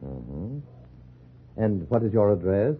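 Husky, skeptical official. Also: male voice, on the low side, very animated, heavily acted. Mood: skeptical, probing. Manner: deliberate, formal, probing. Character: methodical, skeptical male official questioning a man. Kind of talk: 1950s radio drama acting. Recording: muffled vintage radio recording.

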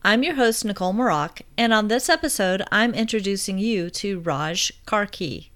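A woman's voice: medium pitch, lively intonation, moderate pace, a bit bright. A woman hosting a podcast, reading an upbeat intro and asking friendly interview questions. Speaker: podcast host.

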